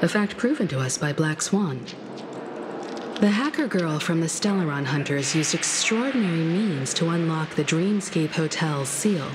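A woman's voice: sits low, expressive, normal speed, background noise. A woman voicing a poised, thoughtful, mature lady leader in an anime game. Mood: pondering. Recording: loud music under the voice.

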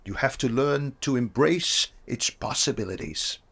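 A man playing a gentle, encouraging mentor. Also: mid-range voice, expressive, measured pace, breathy edge, full character voice. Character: calm male mentor urging a young woman on.

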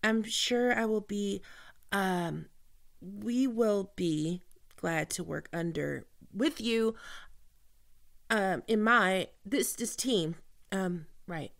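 A woman acts out an awkward, smitten female team leader in an audio roleplay. Her speech is slow and halting, full of stammers and apologies. Her voice often crackles with vocal fry. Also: medium pitch. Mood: flustered, formal. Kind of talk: ASMR roleplay acting, one-sided dialogue.

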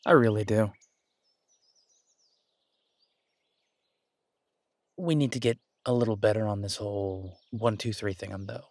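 A man's voice: fairly low pitch, fairly quick, dry recording, close to an everyday voice. A man playing a caring, easygoing boyfriend in an intimate audio roleplay, talking in a hesitant, reassuring, conversational way. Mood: affectionate, curious. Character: caring, easygoing human boyfriend of a werewolf woman.

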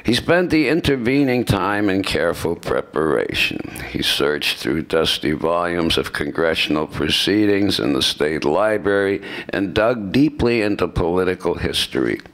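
A man's voice: low-pitched, lively intonation, moderate pace, few pauses, fairly bright tone, rough recording. An older American man in his seventies reading the part of a 19th-century associate of Lincoln recalling him. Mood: reminiscing, measured. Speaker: amateur radio play actor. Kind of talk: reading a scripted historical recollection aloud. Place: lecture hall stage before a seated audience. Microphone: podium microphone.

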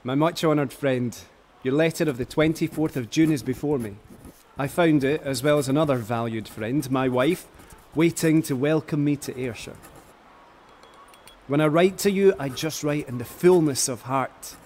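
Ardent Scottish poet acted by a man, highish voice. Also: moderate pace, a little mellow, slight background noise, close to an everyday voice. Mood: heartfelt, candid. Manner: eloquent, emphatic, heartfelt. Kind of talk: radio drama letter reading.

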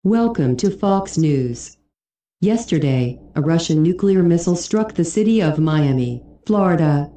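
A woman's voice: fairly low pitch, lively intonation, measured pace, warm tone, echoey room. A woman reading a scripted news report as an anchor.

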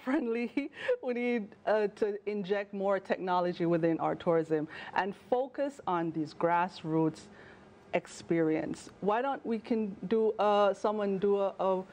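A woman in her thirties with a Jamaican accent, a travel journalist talking as a guest in a TV studio interview, animated and chatty with fillers and restarts. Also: medium pitch. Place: TV news studio. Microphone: lapel mic.

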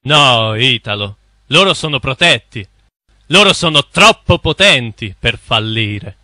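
An actor playing a grave, knowing cartoon mentor who explains in a solemn, didactic way. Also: male voice, medium pitch, lively intonation, unhurried, pushed and bright, no echo, clean studio sound. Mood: grave, explaining. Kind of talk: animated film exposition. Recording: music under the voice.

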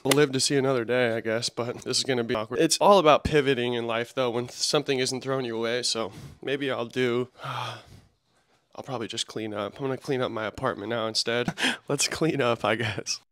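A man's voice: mid-range voice, steady tone, moderate pace, fairly bright tone, dry recording, studio quality. A man vlogging in a casual, slangy way, talking straight to his viewers. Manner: laid-back slangy talk to viewers. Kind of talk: casual vlog chat.

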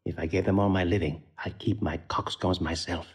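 An actor playing an impudent, riddling court jester in a Shakespearean audio drama, with a playful, mocking delivery. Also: male voice, sits low, steady tone, brisk, husky. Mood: teasing. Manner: mocking, sing-song, playful.

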